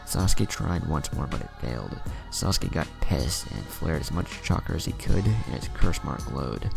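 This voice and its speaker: A man with a gravelly voice narrating a scripted action story. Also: expressive, normal speed. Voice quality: very deep, gravelly. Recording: music under the narration. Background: music.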